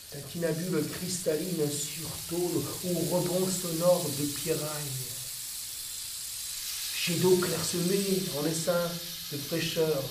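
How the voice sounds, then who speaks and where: A man reciting a poetic dramatic monologue in a measured, deliberate way, with pauses between phrases. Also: fairly high pitch. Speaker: monologue performer. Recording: roomy, distant, noisy with water-like hiss.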